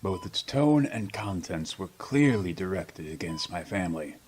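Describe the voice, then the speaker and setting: A man playing an ailing, proud old politician in a radio drama, speaking in formal, pompous, measured phrases. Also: sits low, expressive, a little mellow, slight background noise. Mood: stiff, aggrieved.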